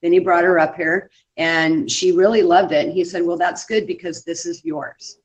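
A woman's voice: lowish voice, steady tone, moderate pace. A woman speaking as a tour guide in a casual interview, conversational and full of small anecdotes. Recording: dull lo-fi audio.

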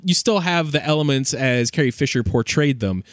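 A man's voice: mid-range voice, lively intonation, fairly quick, dry recording, studio quality. A man talking through a topic in a conversational podcast discussion. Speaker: podcast speaker.

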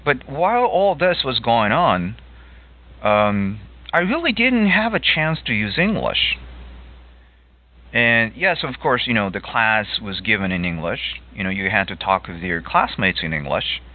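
A middle-aged man from Japan, speaking English as a second language in a relaxed chat, with many fillers and restarts. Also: on the low side, measured pace. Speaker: host. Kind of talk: casual conversation. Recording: phone-like narrow audio line.

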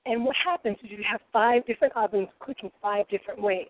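A woman's voice: mid-range voice, fast. A woman in her forties with a Rhode Island accent, a guest cook chatting in a boisterous, rambling way. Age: 40s. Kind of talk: casual chat. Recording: tinny phone-like sound.

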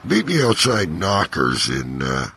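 Man as a scheming pal, very low gravelly voice, cajoling, hesitant, comic radio play. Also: huge pitch swings, unhurried, pushed and bright, full character voice. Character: scheming, cajoling buddy talking friend into bowling bets. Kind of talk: hobby comedic audio drama acting.

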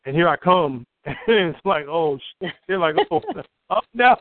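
A man from Chicago, a poet and storyteller, telling his story in an interview with a booming voice and an outspoken, repetitive manner. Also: measured pace, no echo. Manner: outspoken, repeats words when excited. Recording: tinny phone-like line, dry.

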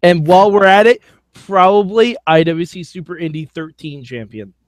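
A man's voice: high-pitched, moderate pace, no echo. A man co-hosting a podcast in casual, blunt, wisecracking chat peppered with swearing. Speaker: podcast co-host.

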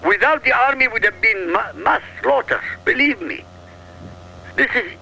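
Emphatic, gravelly man from Iraq. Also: extremely high pitch, animated, moderate pace, sharp tone, low-quality recording. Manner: emphatic, combative, punchy short sentences. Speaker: radio talk show regular. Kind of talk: heated political talk radio.

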